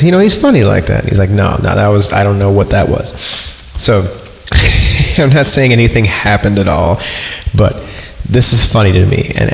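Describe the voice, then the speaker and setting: A man's voice: on the low side, vocal fry. A man hosting a podcast, casually reading and riffing on horror stories in an irreverent, joking, rambling way. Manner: irreverent, joking, digressive, easily amused. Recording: echoey long reverb, music behind the voice. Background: music.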